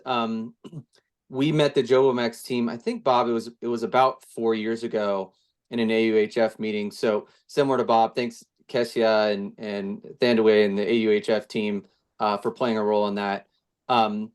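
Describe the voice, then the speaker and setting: A man with a mid-range voice talks through his company's story in a relaxed way. He often stumbles over words and restarts his phrases. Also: mid-range voice. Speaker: co-founder of a housing firm. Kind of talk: interview answer.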